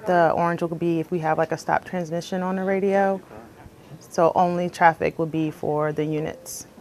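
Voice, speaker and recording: A woman's voice: lowish voice, steady tone, normal speed, darkish tone, slight background noise. A woman working as an emergency dispatcher, explaining her job in a plain-spoken, earnest way as if interviewed.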